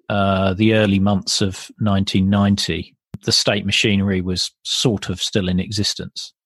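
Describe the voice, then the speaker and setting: A man's voice: on the low side, a bit bright, no room sound. A man hosting a podcast interview, asking inquisitive questions in a conversational, tentative way. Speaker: podcast host interviewing a guest.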